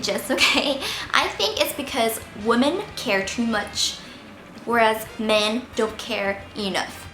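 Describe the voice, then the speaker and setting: A woman in her twenties with a squeaky voice made a little husky by a sore throat, chatting playfully while answering viewer questions at home. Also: sharp tone, roomy sound, low-quality recording. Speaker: vlogger. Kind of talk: casual Q&A vlog. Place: indoors at home, seated by window light.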